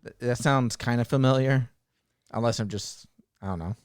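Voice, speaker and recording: A man with a smooth, clear voice and a middling pitch, recorded close and dry. He co-hosts a casual chat and talks in a joking, teasing way. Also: normal speed, dry recording. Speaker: podcast co-host and audio drama producer.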